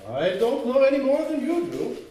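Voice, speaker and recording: An actor playing an elderly professor and mentor in an old-time radio horror drama, with theatrical exclamations. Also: male voice, extremely high pitch, very animated, normal speed, dark tone. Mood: genial. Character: elderly male professor, the scientist's old teacher. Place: live stage show in a community hall. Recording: echoey hall, far from mic, faint noise.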